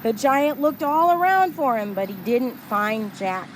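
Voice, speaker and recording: Woman, children's storyteller, very slow pace, slightly high pitch, expressive, emphatic, noisy background. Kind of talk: storytime narration for young children.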